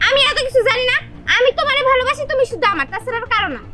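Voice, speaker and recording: A woman's voice: very high voice, animated, very fast, loud music behind the voice. A woman voicing a spoiled, jealous and threatening chairman's daughter in a cartoon drama. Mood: jealous, angry.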